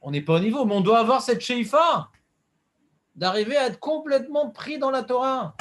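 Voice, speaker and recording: A man's voice: very high voice, lively intonation, normal speed. A man teaching an informal religious class, bantering and joking with his students.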